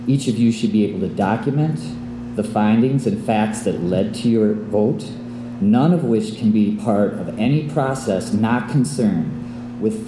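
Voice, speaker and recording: A man with a somewhat low voice delivers stiff, legalistic public comment. His voice echoes through a hall, with clear noise and a hum behind him.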